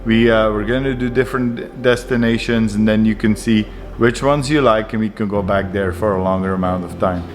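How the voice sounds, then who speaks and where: A man's voice: lowish voice, steady tone, a little fast, few pauses. A man from Belgium in his forties, vlogging casually to a handheld camera in an upbeat, chatty way with fillers and restarts. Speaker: travel vlogger. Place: home kitchen and inside a camper van. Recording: music clearly under voice. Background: background music.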